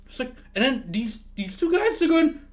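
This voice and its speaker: Animated, ranting male streamer. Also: extremely high pitch, moderate pace. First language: not English. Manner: animated ranting, swears freely, laughs often. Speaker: livestreamer. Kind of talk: casual livestream chat.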